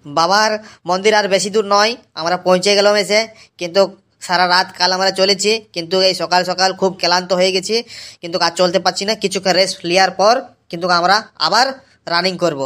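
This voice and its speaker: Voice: mid-range voice, flat delivery, quick pace, a bit bright, lightly acted. An eager young cartoon sidekick put on by a performer chats. He is agreeable and repeats his words, in a comic mock-vlog style. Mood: weary, chatty. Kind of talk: cartoon comedy dialogue, mock vlogging.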